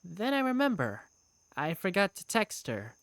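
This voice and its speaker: A woman's voice: mid-range voice, huge pitch swings, moderate pace, no echo. A woman playing an affectionate young working big sister, narrating her own story in a visual novel fan dub. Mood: weary, reflective. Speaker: narrator and protagonist. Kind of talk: first-person visual novel narration and dialogue.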